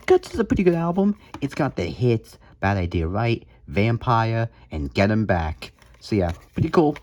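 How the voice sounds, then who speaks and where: Man with very swingy pitch, casual vlogging. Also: a little mellow. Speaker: host of a music collection video. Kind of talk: casual show-and-tell vlog commentary.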